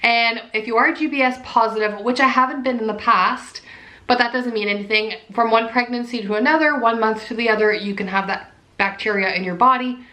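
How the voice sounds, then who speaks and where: A young woman vlogging to camera, chatty and bubbly, her voice raspy and nasal from being sick. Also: on the high side, fairly quick, bright, forceful tone. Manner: bubbly, chatty, repeats "super". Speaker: pregnancy vlogger. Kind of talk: casual chat to camera.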